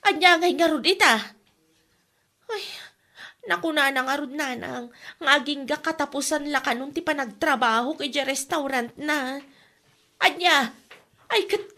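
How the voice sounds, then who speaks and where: A woman's voice: high-pitched, bright, forceful tone. A woman with an Ilocano accent acting in an anxious family exchange in a radio comedy drama, plaintive and exclamatory.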